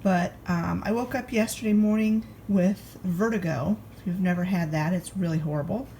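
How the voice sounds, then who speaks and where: A woman's voice: on the low side, moderate pace, gravelly, mellow, slight background noise. A congested woman chatting at home in a homey, talkative way as she narrates her food vlog from a recliner. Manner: chatty and homey, talking straight to the camera. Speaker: vlogger.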